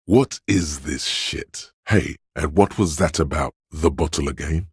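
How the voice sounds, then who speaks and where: An extremely deep, gravel-rough tempter for a video game, recorded close and dry. This is addiction itself as an inner voice, gleeful and seductive. The delivery is sly and theatrical, insinuating and goading toward every indulgence. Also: male voice, unhurried, no room sound, full character voice. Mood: seductive, mocking. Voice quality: very deep, husky. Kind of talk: video game character voice lines.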